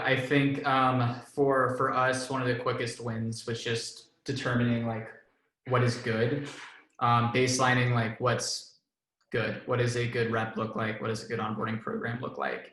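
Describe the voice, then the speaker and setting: Man, casual panel talk, flat monotone, echoey room, filler-heavy. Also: medium pitch. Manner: relaxed, filler-heavy, self-deprecating. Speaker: panelist, enablement professional.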